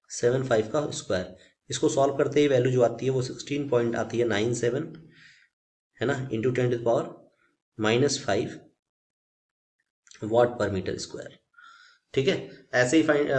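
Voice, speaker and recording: A man with an Indian accent teaching a physics solution step by step, mixing in English technical terms and often checking in with short tag questions. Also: mid-range voice. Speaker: physics tutor.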